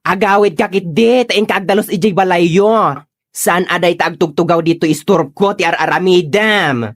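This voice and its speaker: A woman's voice: fairly low pitch, normal speed, natural voice. A woman playing an irritated store owner who scolds and shoos loiterers, brusque and exasperated, in a comedy radio drama. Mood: exasperated scolding.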